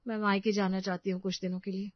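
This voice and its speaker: A woman's voice: mid-range voice, level tone, quick pace, dry recording, lo-fi sound, close to an everyday voice. A woman voicing an overworked, aggrieved young daughter-in-law, plaintive and protesting, in a family drama story.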